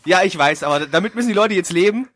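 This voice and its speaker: A man hosting a casual anime review chat, talking in a halting, joking, self-mocking way. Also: high-pitched, lively intonation, over a noisy crowd. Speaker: host of an anime review show.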